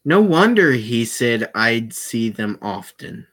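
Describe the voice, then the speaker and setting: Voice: medium pitch, sing-song, slow pace. A casual game streamer with an informal, sweary way of talking, chatting live and reading visual novel dialogue aloud.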